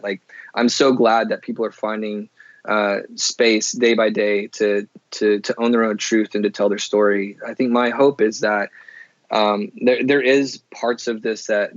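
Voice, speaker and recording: A man's voice: mid-range voice, no room sound, muffled recording. Man, earnest interview guest, restarts and "you know"s, dry close sound. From Oklahoma, USA. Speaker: podcast guest. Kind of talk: candid interview conversation.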